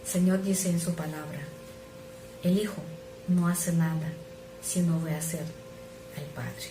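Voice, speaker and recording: A middle-aged woman who is not a native speaker, preaching an earnest, measured sermon that sometimes drops to soft asides. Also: on the low side, steady tone, over music. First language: not Spanish. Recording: echoey room with noise.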